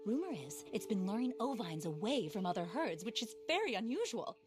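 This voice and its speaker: An actress playing an eccentric, passionate naturalist in a video game, with a well-spoken, theatrical, earnest delivery. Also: female voice, medium pitch, very animated, brisk, music in the background, close to an everyday voice. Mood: concerned, fascinated. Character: eccentric, passionate female frontier naturalist, indignant animal lover. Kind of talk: video game cutscene acting.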